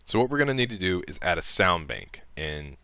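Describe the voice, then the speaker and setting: A man narrating a software tutorial casually, thinking aloud informally as he works. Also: low voice, sing-song, a little fast, heavy background noise.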